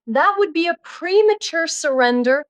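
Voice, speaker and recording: An actress playing a poised, flirtatious, quick-witted duchess in audiobook dialogue, arch and playful. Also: female voice, extremely high pitch, lively intonation, no echo. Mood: playful, coy.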